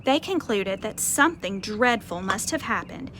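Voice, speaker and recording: A woman reading a picture book aloud to children outdoors, expressive, with conversational explanatory asides. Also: mid-range voice, normal speed. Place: outdoors on a porch. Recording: faint outdoor ambient noise.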